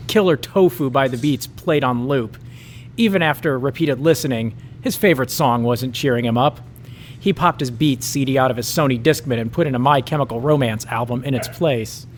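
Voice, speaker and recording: A man's voice: medium pitch, a little hiss or hum. A man reading a raunchy comic story aloud at a live show, with mock-dramatic narration.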